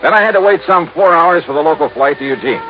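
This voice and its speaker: An actor playing a wry, world-weary American insurance investigator in a 1950s radio drama, with a dry, clipped, wisecracking delivery. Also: male voice, a little high, animated, fast talker, soft background music, close to an everyday voice. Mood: wry narrating. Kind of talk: 1950s radio detective acting and narration. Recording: muffled old radio recording, faint noise.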